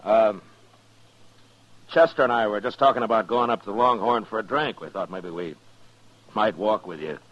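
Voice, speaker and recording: An actor playing a calm, authoritative Old West marshal, measured and firm, with an American accent in a 1950s radio drama. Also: male voice, on the low side, close to an everyday voice. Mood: friendly, low-key. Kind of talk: 1950s radio western acting. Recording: dull, noisy vintage radio recording.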